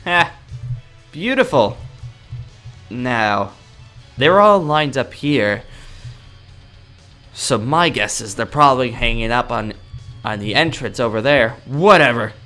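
A young man improvising in-character gameplay roleplay in a cocky, dramatic, excitable manner. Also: mid-range voice, slow pace, pauses often, faint music behind. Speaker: gaming roleplay video creator.

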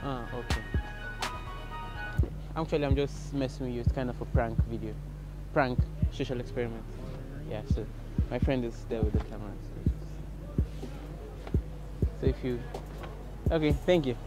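A man's voice: mid-range voice, normal speed, long pauses, loud music behind the voice, rough recording. A young man hosting a casual street interview outdoors, playfully approaching strangers with friendly questions. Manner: playful, loud shouts to camera, then friendly approach to strangers. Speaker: street interviewer and prank host. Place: outdoors on city streets and a harbor.

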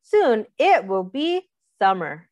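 Young woman reading slowly, singsong and animated. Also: mid-range voice, no room sound, clean studio sound. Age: young adult. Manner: expressive, simple explanatory asides with questions to young listeners. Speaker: teacher reading aloud to young children. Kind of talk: picture-book read-aloud for young children. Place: small indoor room, seated.

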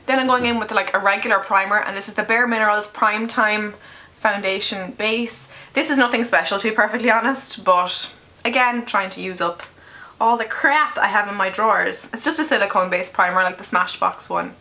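A young woman with a crisp, bright voice, slightly nasal from a lingering cold. She chats candidly and casually, swearing now and then, as she talks to a camera at her desk in a small bedroom. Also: mid-range voice, a little fast. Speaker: beauty vlogger. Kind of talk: chatty makeup tutorial.

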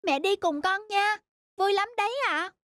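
A woman voicing an eager, affectionate little girl in an animated cartoon, bubbly and childlike. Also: very high-pitched, moderate pace, no echo, clean studio sound. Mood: excited.

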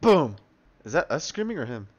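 A young man in casual, joking reaction chat, slangy, sweary and excitable, with others chattering around him. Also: mid-range voice, normal speed, muffled recording, crowd noise. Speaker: comic dub reader and commentator.

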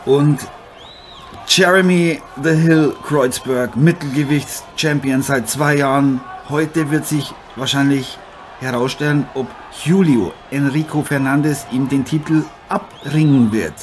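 A man's voice: mid-range voice, a little slow, natural voice. A man playing a brash, chummy boxing figure in an improvised mock sports radio play, leaning on repeated catchphrases. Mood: excited, chummy. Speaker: boxing commentator. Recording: clearly noisy amateur recording.

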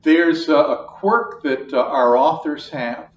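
Older guy teaching math, slow and patient, kinda high voice, echoey room far from mic. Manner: slow, patient, repeats each point. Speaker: math lecturer. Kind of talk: classroom lecture at a whiteboard. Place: classroom. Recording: echoey classroom, distant, dull.

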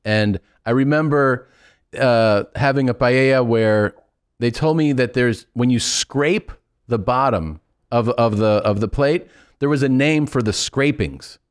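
A man's voice: mid-range voice, unhurried, clear, resonant tone, no echo. A man in his forties hosting a podcast interview, casual and joking, swearing freely, on a close studio microphone. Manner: joking, swears freely, puts on mock voices. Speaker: podcast host. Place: small studio. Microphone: studio boom mic, close.

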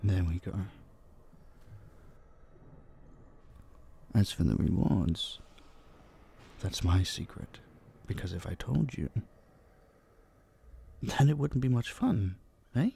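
A man playing an obsessive, possessive vampire captor in an intimate audio drama, hushed, teasing and dominant, menacingly sweet, dipping into whispers. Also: low-pitched, animated, slow pace, gravelly, pauses often, slightly rough, exaggerated voice. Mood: coaxing, controlling. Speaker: voice actor. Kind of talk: intimate roleplay audio drama, addressing the listener.

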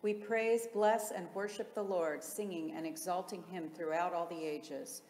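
Lower-pitched woman as solemn liturgical reader, formal, echoing interior with faint noise. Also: fairly even intonation, a little slow, darkish tone. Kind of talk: solemn chanted recitation of hymns. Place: large reverberant interior. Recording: echoey reverberant hall, faint background noise.

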